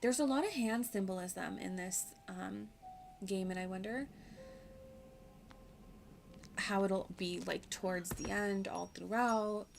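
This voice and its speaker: A woman's voice: medium pitch, unhurried, creaky. A woman giving casual, rambling commentary as she streams a game, with playful, giggly asides. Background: game music.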